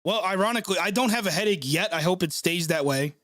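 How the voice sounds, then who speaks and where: A man's voice: high voice, expressive, quick pace, a bit bright, no room sound, clean studio sound. Stuffy-nosed frustrated man streaming a game reaction. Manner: frustrated, sarcastic, swears often. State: stuffed up from a cold. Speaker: sports channel host reacting live. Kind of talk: live play-by-play reaction stream, reading chat.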